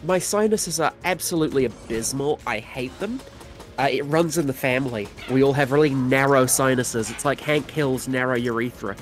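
A man with a mid-pitched, slightly congested voice co-commentates on gameplay. He is wordy and tongue-in-cheek with crude humour, and faint music plays behind him. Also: moderate pace. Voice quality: slightly stuffy, sniffly nose. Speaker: guest co-commentator. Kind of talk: casual joking chat over gameplay.

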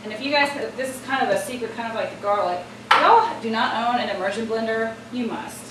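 A woman's voice: a little high, animated, fast. A middle-aged American woman giving a casual, chatty cooking demonstration, talking straight to viewers as host. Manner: chatty, instructive, addresses viewers directly. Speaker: cooking show host and chef. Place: home-style kitchen at the stove, indoors. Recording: roomy, distant mic, loud kitchen noise. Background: sizzling pan, clattering pots and stirring.

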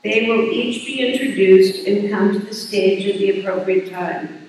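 A woman's voice: mid-range voice, fairly even intonation, moderate pace, a little mellow, slight background noise. An older woman, a university president, giving formal commencement remarks from a podium with light self-deprecating asides. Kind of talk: commencement address. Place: large hall, at a podium on stage. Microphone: podium microphone. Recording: echoey hall, heard from a distance.

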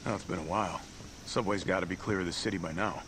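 Male actor as relaxed game soldier, lower voice, noisy backdrop. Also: fast, natural voice. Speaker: game character.

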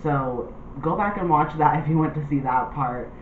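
A man's voice: fairly high pitch, moderate pace. A young adult man talking casually to camera as a vlogger. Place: small indoor room. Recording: thin phone-like sound, roomy, clear noise.

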